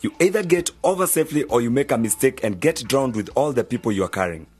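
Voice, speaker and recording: A man, with a husky, mid-pitched voice. He is an earnest journalist mentor in an audio drama, lecturing in a measured, preachy way. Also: expressive, a little mellow, soft background music. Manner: didactic, measured. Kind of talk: radio drama acting.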